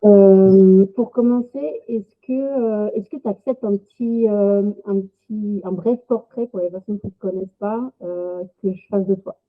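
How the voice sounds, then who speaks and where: A woman's voice: mid-range voice, unhurried, few pauses. A woman hosting a casual podcast interview, searching for her phrasing, on a thin lo-fi recording. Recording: thin phone-like lo-fi connection.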